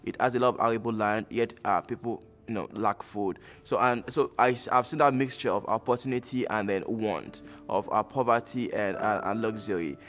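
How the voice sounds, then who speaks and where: Low-voiced Nigerian man, reflective. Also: faint music behind. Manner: reflective, with frequent "uh" pauses. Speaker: alumnus interviewee. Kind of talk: documentary interview.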